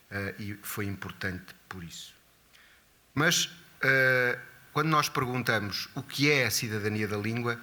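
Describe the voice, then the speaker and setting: A man with a European Portuguese accent giving a formal, partly read lecture, halting and repeating words as he goes. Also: on the low side, bright, forceful tone. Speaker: guest lecturer. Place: lecture before an audience at an academy.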